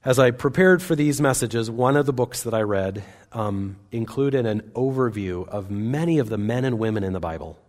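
A man's voice: medium pitch, moderate pace, hardly any pauses, a little mellow. A man preaching a sermon in a church service, speaking in an earnest, conversational way with small restarts.

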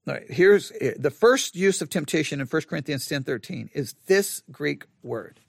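A man leading a Bible study, thinking aloud in a halting way and often repeating words as he works through an idea. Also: a little high, lively intonation, gravelly, breathy edge, no room sound, clean studio sound.

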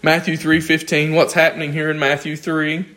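A man's voice: fairly high pitch, monotone, moderate pace, bright, forceful tone. A man, losing his voice, teaching an informal Bible class in a conversational, thinking-aloud way and asking the class questions.

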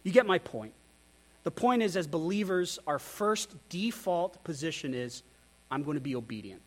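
High-voiced man preaching earnestly. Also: lively intonation, normal speed. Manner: earnest, candid, self-deprecating preaching with rhetorical questions. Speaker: pastor. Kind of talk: sermon.

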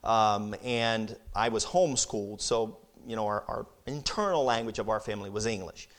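A man in his thirties who grew up in Brazil, giving an earnest, conversational missionary talk to a church, often repeating words as he goes. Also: medium pitch. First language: Portuguese. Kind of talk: church presentation, Q&A.

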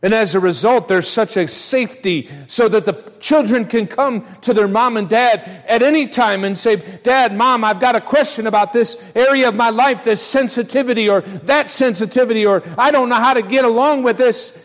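A man's voice: high voice, normal speed. A middle-aged American man preaching a sermon as a pastor, speaking in an emphatic, folksy way straight to his listeners and repeating phrases. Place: large church hall, live service. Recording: long hall reverb on voice.

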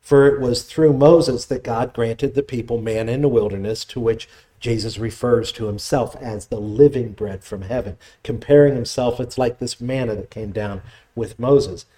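A man preaching, reading scripture aloud, praying, then explaining the passage in a measured, teaching way. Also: mellow. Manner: measured expository preaching, voice drops low in prayer. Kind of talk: sermon with scripture reading and prayer.

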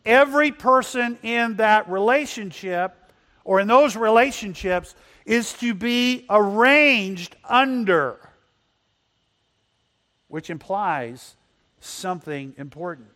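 A man's voice: high-pitched, lively intonation, pushed and bright. A man preaching a Sunday sermon whose first language is Spanish, reading scripture and asking the congregation questions. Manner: asks the congregation questions and slows for emphasis. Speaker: pastor.

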